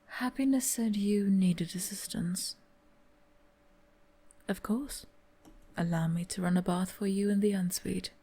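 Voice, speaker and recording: Whispery masked butler played by a woman, formal and polite, dark husky tone, a little gravel. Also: mid-range voice, a little slow, pauses often. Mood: hushed. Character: masked female butler, quiet and dutiful. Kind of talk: ASMR roleplay audio drama.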